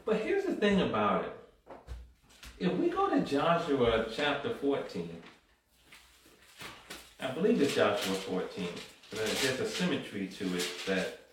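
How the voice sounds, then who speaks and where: A man's voice: mid-range voice, huge pitch swings, measured pace, long pauses, slight background noise. A man preaching a sermon, reading scripture aloud and building to fervent exhortation with frequent amens and call-and-response, recorded in a church hall. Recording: very echoey room from far off.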